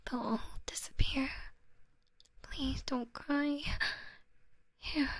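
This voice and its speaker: A young woman whispering an ASMR roleplay as an obsessive, possessive lover, cooing endearments softly. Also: high-pitched, fairly even intonation, drawn-out words, no room sound. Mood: soothing. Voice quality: soft whisper.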